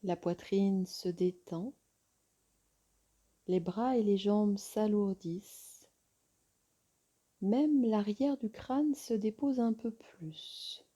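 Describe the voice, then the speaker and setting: A woman yoga teacher guiding a relaxation meditation in a soft, soothing, breathy voice with long pauses. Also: medium pitch.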